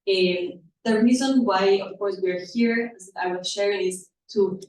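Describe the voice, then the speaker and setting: A woman with an Ecuadorian Spanish accent presents earnestly to an audience, repeating words as she searches for the next. Her voice sits at a middle pitch. It is picked up from a distance in a very echoey room, with faint noise behind it. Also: a little slow, a little mellow. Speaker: activist, panel speaker at a conference. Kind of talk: public presentation to a live audience. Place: indoor event room.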